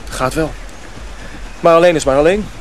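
A man's voice: a little high, moderate pace, slight background noise, close to an everyday voice, rough recording. A man acting in a radio drama as a guarded, reluctant young mill apprentice, wary and evasive.

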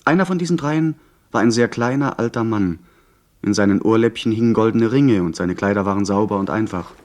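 Male actor as earnest young gentleman narrator, lowish voice, literary storytelling, radio play. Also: brisk, lightly acted. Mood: measured, literary. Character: earnest young gentleman recalling his pirate adventure. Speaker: first-person narrator. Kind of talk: scripted dramatic narration.

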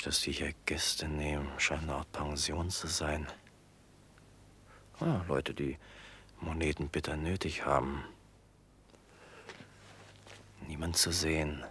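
A man's voice: extremely low pitch, a bit bright, breathy edge, exaggerated voice. An actor playing a breezy, self-satisfied male travelling salesman in a radio drama, speaking in casual, clipped phrases. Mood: curious musing. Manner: casual, clipped musing to himself. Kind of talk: German radio drama acting.

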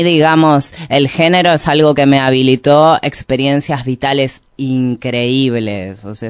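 A man with an Argentine Rioplatense accent explains his ideas in an unscripted interview, halting and reflective, often correcting himself. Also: medium pitch, clear, resonant tone. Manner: halting, reflective, frequent hedging and self-correction. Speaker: interview guest, activist and researcher.

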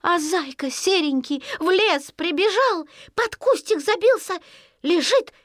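A woman tells a children's folk tale in a high, swooping voice. Her delivery is folksy and singsong, lingering on repeated words. The recording is close and dry. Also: expressive, a little slow, fairly bright tone, no room sound. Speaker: storyteller. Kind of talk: expressive scripted narration of a children's folk tale.

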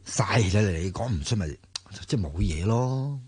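A man's voice: on the low side, lively intonation, moderate pace, darkish tone, husky, no echo. A man with a Hong Kong Cantonese accent, playing a hesitant young man who protests against posing as someone else in a radio drama. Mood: uneasy, defensive.

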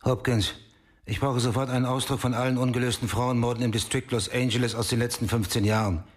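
An actor playing a brooding, haunted male police detective in a German radio crime drama, muttering in terse fragments with a husky, gruff voice. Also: mid-range voice, fairly even intonation, fast, lightly acted. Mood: brisk, commanding. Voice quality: husky, gravelly.

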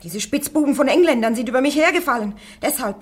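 A woman's voice: high-pitched, animated, fast, slightly rough. An actress playing a stubborn, plain-spoken young woman prisoner on trial in a German radio play. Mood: defiant.

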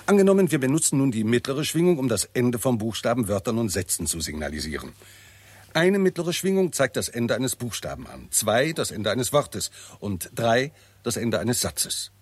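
An actor playing a confident, shrewd professor of astronomy, explaining precisely in a 1960s German radio drama style. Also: male voice, medium pitch, very animated, moderate pace, slightly rough, dry recording. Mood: lecturing. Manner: didactic, precise, self-assured.

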